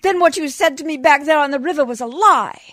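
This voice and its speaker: A woman's voice: high-pitched, fast talker, fairly bright tone, no room sound, studio quality. A woman playing a determined, adoring lady adventurer in an old-time radio drama, speaking with proper, earnest diction. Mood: hurt, angry.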